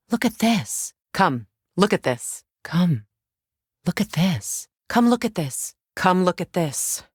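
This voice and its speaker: A woman playing a composed, commanding Norse goddess warrior in a video game, speaking in a measured, deliberate way. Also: fairly low pitch, breathy edge, no echo, clean studio sound, exaggerated voice. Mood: alert, curious. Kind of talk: game companion voice lines.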